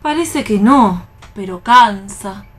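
A woman's voice: mid-range voice, animated, takes it slowly, a bit bright. An Argentine actress playing a nervous, naive teenage girl starting her first factory job, anxious and questioning, in a radio theatre reading.

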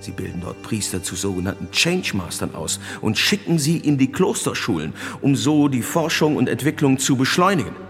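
In a long, echoing hall with music underneath, a stern reformist bishop, a man of power. He speaks at a medium pitch, emphatic and indignant, like an orator in a radio drama. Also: very animated, normal speed, vocal fry, few pauses. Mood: earnest, persuasive. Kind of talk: German radio drama acting.

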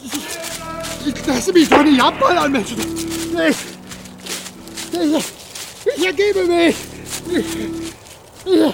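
A man's voice: extremely high pitch, drawn-out words, gravelly, long pauses, noisy background, exaggerated voice. An actor playing a frantic male Western journalist who stammers and swears while fleeing, in a radio drama. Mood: panicked.

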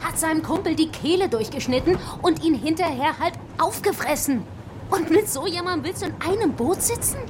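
Brash high-voiced young woman survivor. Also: expressive, a little fast, noisy background. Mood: bitter. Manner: sarcastic, crude, combative. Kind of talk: German radio drama acting.

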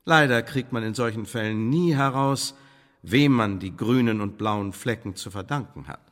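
An actor in a German radio drama playing a smooth, menacing Elizabethan English secret service chief, speaking in pompous, formal officialese with veiled threats. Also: male voice, medium pitch, moderate pace, a bit bright, close to an everyday voice. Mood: veiled menace. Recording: studio radio play with long reverb.

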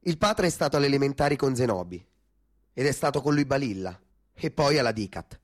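A man's voice: medium pitch, animated, brisk. A man narrating a literary story in a theatrical style for radio. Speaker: actor-narrator.

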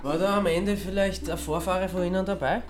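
An actor playing an awkward, polite, reluctant private investigator in a radio play, matter-of-fact, with an Austrian accent. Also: male voice, high-pitched, fairly even intonation, brisk, over music, lightly acted. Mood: polite, apologetic.

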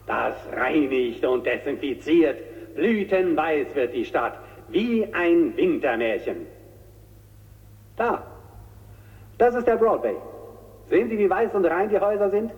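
Gushing TV showman, high-pitched and swooping, lingering on words; thin radio-drama sound. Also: big pitch swings, measured pace, big-room sound. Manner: gushing, savoring and repeating words. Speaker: TV presenter in a drama. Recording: tinny narrow-band radio drama recording.

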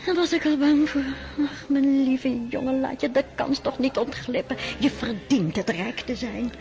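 A woman's voice: high-pitched, moderate pace, muffled recording. A woman playing a scheming aristocratic mother in a radio play, talking in a gushing, coaxing way. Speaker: mother of Boris. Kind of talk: radio drama acting.

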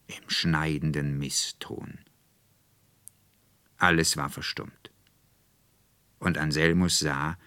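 A man narrating a literary fairy tale as an audiobook, in a measured, expressive and deliberate manner. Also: a bass voice, no echo.

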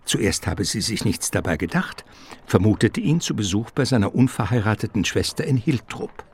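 A man narrating a radio play in an expressive, theatrical style, with suspense and irony. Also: fairly low pitch, moderate pace, husky.

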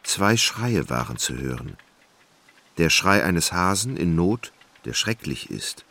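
Very deep, gravelly male narrator. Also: big pitch swings, pushed and bright. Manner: dramatic, deliberate storytelling. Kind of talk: scripted literary narration for radio drama.

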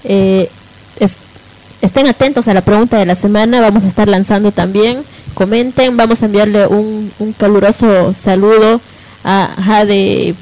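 A woman's voice: mid-range voice, steady tone, normal speed, a little mellow, low-quality recording. Friendly woman co-hosting radio. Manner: friendly, addressing listeners directly. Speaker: radio show co-host. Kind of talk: casual radio sign-off to listeners.

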